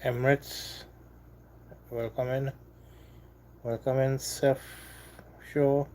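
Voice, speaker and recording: A man from Trinidad with a Trinidadian accent, hosting a casual live stream and chatting with viewers in a halting, repetitive way. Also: medium pitch, flat delivery, a little mellow, slight background noise. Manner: halting, repeats himself, apologetic then defiant. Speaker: livestream host.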